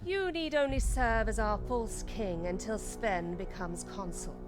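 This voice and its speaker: The power behind a puppet throne in a fantasy game cutscene, a woman acting cold, regal menace, each formal word slow over a clear orchestral bed. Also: mid-range voice, music in the background, close to an everyday voice. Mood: cold, scolding. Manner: imperious, measured, formal. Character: cold, scheming regal queen regent, quietly menacing.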